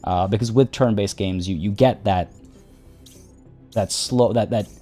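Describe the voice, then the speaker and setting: A man's voice: fairly low pitch, a little slow, warm tone, faint music behind. Rambling man streaming a tactics game. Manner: rambling, many restarts and fillers. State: voice getting worn. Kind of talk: casual gameplay commentary.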